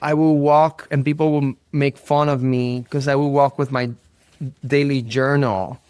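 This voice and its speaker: Clear-voiced Puerto Rican man, earnest. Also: mid-range voice, fairly even intonation, unhurried, a little mellow, dry recording. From Puerto Rico. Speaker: interview guest, performing artist. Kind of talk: reflective conversational interview.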